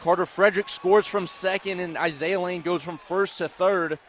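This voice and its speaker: A man doing live radio baseball play-by-play as the announcer, excitable on big plays with drawn-out calls. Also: sits high. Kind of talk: live sports commentary. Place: outdoor baseball stadium broadcast. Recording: loud crowd and stadium noise behind. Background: crowd, ballpark ambience.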